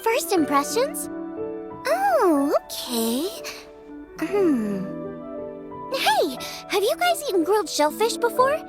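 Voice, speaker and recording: Very high, bubbly young girl cook. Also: wildly swooping pitch, takes it slowly, long pauses, music in the background, full character voice. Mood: cheerful, friendly. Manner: bubbly, informal. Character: perky, friendly teenage girl cook. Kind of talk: anime-style video game voice acting.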